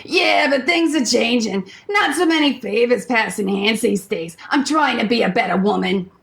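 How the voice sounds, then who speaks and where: An actress playing a wary, tough records insider in a radio play, with a blunt, folksy, wry manner. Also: female voice, on the high side, animated, moderate pace, gravelly. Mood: wry, hesitant.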